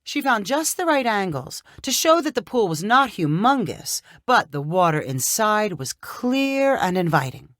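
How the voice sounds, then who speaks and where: A woman's voice: medium pitch, big pitch swings, unhurried, hardly any pauses, a bit bright, no room sound, clean studio sound. A woman narrating a scripted short story as its reader.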